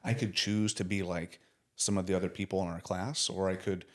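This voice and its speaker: Deep-voiced man in his forties hosting. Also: level tone, a little fast. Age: 40s. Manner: earnest, warm praise with casual slang. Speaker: podcast host. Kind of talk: casual interview chat.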